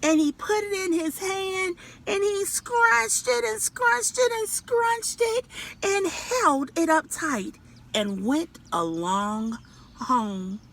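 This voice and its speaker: High-voiced, animated woman reading stories. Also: slow pace. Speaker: children's read-aloud storyteller. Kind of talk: animated storybook reading with questions to children.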